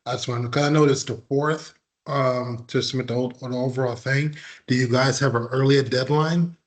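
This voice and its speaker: A man's voice: mid-range voice, steady tone, moderate pace. A man taking part in a work conference call, casually asking a question. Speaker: meeting participant. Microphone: online conference call.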